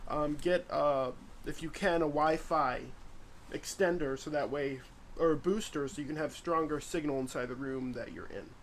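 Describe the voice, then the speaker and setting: A man's voice: on the high side, normal speed. A man working as technician on a video call, casual and rambling. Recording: laggy call with faint noise.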